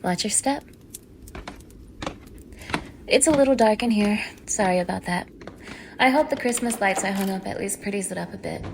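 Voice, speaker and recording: A woman's voice: mid-range voice, moderate pace, long pauses, lightly acted. Woman playing a falsely friendly, teasing neighbour, noisy background. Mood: condescending, quietly menacing. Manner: casual, coaxing, teasing, with small laughs and hushed asides. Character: young woman, teasing fake-friendly neighbour hiding obsessive menace. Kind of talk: intimate ASMR audio roleplay to the listener.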